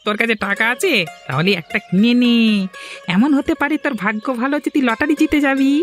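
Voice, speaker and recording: A pushy cartoon village hawker voiced by a woman talks very fast. The voice is fairly high, brisk and coaxing, with music underneath. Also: expressive, close to an everyday voice. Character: pushy, persuasive village lottery-ticket seller. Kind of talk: animated story dialogue acting.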